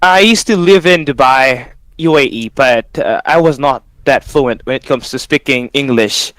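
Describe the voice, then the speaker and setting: Smooth-voiced man, dry sound. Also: medium pitch, moderate pace, a bit bright, no room sound, low-quality recording. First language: Tagalog. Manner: earnest, with frequent fillers like "you know". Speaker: esports caster, guest. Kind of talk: casual interview answers.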